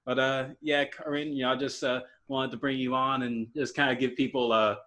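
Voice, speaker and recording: A young man hosting a podcast interview, casual and halting, full of fillers and restarts. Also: medium pitch, flat delivery, a bit bright. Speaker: podcast host.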